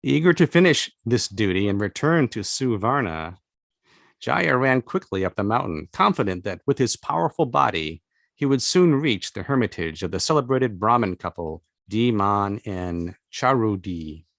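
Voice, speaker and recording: A man reading his own literary fantasy novel aloud as narration, with measured, deliberate phrasing and pauses. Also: on the low side, animated, vocal fry, a bit bright, no room sound, studio quality. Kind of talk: literary audiobook-style narration of a fantasy tale.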